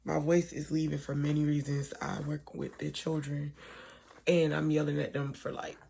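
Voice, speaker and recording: A young woman vlogging late at night with a hoarse, fading voice, talking softly and partly in a whisper. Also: low voice, flat delivery, moderate pace. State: hoarse, voice almost gone. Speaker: mom vlogger. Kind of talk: casual talk to camera. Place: home at night, lying down with her baby.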